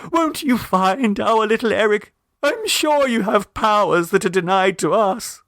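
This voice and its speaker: A man's voice: high voice, animated, a little slow, a bit bright, no echo, studio quality, pitched above the performer's own voice, heavily acted. A man voicing a distraught, tearful young mother in a storybook reading, wailing and sobbing. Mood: tearful pleading. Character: distraught, shrill, tearful young mother, upper-middle-class Edwardian.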